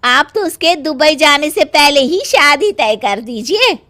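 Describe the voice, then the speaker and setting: A woman voicing a bossy, gullible older mother-in-law in an animated family story. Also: sits high, unhurried, exaggerated voice. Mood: eager.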